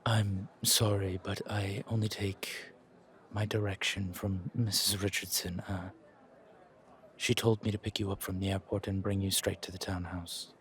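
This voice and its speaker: A man playing a nervously polite, dutiful low-ranking driver in an audio roleplay, speaking hesitantly and with formal deference. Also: little pitch movement, normal speed, fairly bright tone. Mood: apologetic, firm.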